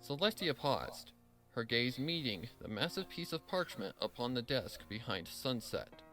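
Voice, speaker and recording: A man's voice: medium pitch, big pitch swings, unhurried, bright, forceful tone, faint music behind. A man narrating a scripted story, reading expressively and dramatically.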